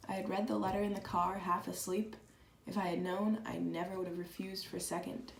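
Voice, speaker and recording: A woman playing an earnest, proud and candid letter writer in a dramatized reading, speaking reflectively and deliberately. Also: medium pitch, little pitch movement, lightly acted. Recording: echoey room with clear background noise.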